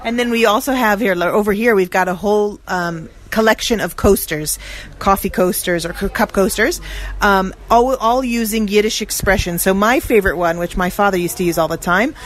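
A woman's voice: medium pitch, moderate pace. A woman interviewing a shop owner in her store, chatty and enthusiastic. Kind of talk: casual interview. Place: gift shop.

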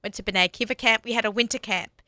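An Australian woman in her forties hosts a radio talk show with a bright, forceful voice. She chats casually and laughs easily, backing up to restart her sentences. The studio sound is dry and close. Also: on the high side, steady tone, quick pace, no echo.

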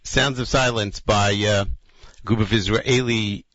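Radio host, male, mid-pitched, casual and rambling, dry muffled studio sound. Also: fairly even intonation, unhurried, fairly bright tone, dry recording. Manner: chatty, loose, with fillers and small laughs.

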